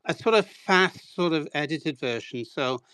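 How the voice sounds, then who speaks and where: A man in his sixties from Wales speaks as an interview guest, telling his story in a loose, self-deprecating way with plenty of "sort of" and "um". His voice is smooth and resonant, recorded dry and close with no room sound. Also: fairly high pitch, lively intonation, a little slow, sharp tone, no room sound. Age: 60s. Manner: rambling, self-deprecating, many hedging fillers.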